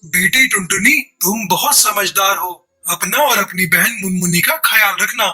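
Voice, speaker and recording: A woman voicing a fed-up, stern father bird in a cartoon, with a husky voice and emphatic delivery. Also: mid-range voice, moderate pace, sharp tone, poor audio. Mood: tender. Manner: emphatic, exasperated.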